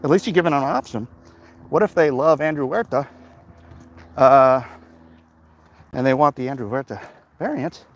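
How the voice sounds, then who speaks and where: A man chats casually and bluntly, rambling as he answers comments while exerting himself on a walk, clearing his throat now and then. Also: medium pitch, moderate pace, pauses often, lo-fi sound. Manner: animated, blunt, opinionated. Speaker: livestream host answering viewer comments. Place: outdoors, walking along streets. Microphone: phone held in hand at arm's length. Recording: faint outdoor street and traffic noise.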